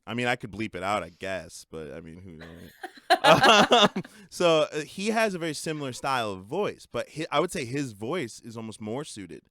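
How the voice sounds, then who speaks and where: Young male podcast co-host, playful and animated, laughs a lot, dry close sound. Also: mid-range voice, moderate pace, no room sound. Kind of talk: casual podcast chat.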